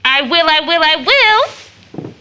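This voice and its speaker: A woman's voice: extremely high pitch, sing-song, slow pace, sharp tone, slight background noise, exaggerated voice. An actress playing an impulsive, affectionate teenage daughter in an audio drama of an early 1900s play, with an American accent and a gushing, girlish manner. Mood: excited, playful. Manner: gushing, exclamatory, emotional.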